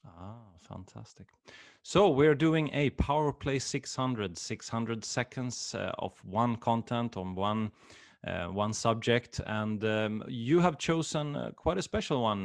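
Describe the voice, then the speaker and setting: A man's voice: mid-range voice, lively intonation, a little slow, gravelly. Upbeat man hosting a webinar. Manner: upbeat, repeats "fantastic", hesitant fillers. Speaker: webinar host, director of education.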